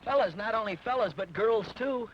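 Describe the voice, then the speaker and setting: A man's voice: high-pitched, brisk, natural voice. An actor playing a hapless, talkative young greenhorn who rambles and jokes at his own expense. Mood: joking. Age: young adult. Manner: rambling, joking, laughs at himself. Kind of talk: 1950s western film dialogue. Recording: dull old film soundtrack with hiss.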